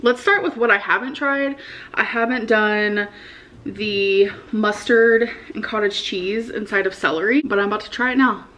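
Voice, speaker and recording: A woman's voice: mid-range voice, moderate pace, a bit bright, a little hiss or hum. A young adult American woman vlogging in a casual, slangy way, talking to camera at home. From United States. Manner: informal, slangy, frequent "like". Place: indoor living room at home.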